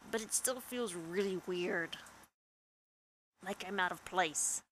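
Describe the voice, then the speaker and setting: A woman's voice: fairly low pitch, bright, forceful tone, slight background noise, low-quality recording. A woman gaming host reading visual novel narration aloud with dramatic first-person storytelling over game music.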